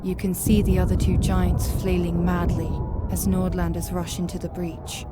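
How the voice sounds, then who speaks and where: A woman narrating a scripted grim fantasy story in a solemn, measured, dramatic storytelling style. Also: fairly low pitch, flat delivery, loud music behind the voice.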